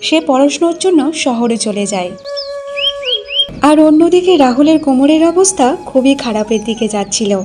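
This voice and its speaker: A woman with a notably high voice narrates a scripted cartoon story. Music runs underneath her storytelling. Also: lively intonation, normal speed.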